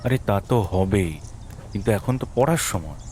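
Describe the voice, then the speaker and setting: A man's voice: on the low side, lively intonation, normal speed, darkish tone, a little hiss or hum, lightly acted. A man playing an impatient young student. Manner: offhand, grumbling.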